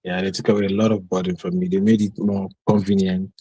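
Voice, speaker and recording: A young man with a Nigerian accent answering interview questions spontaneously, haltingly, repeating phrases as he searches for words. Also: low-pitched, level tone, warm tone, husky, no echo. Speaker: interview guest, master's student.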